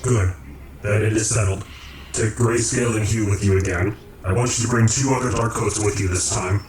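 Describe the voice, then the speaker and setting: A man in a radio drama playing a calm, commanding creator of realities who speaks in measured, formal, cryptic phrases. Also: on the low side, crowd noise, close to an everyday voice. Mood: firm, commanding. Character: calm, commanding cosmic creator, male, cryptic philosophical overseer. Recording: roomy echo, distant, music underneath.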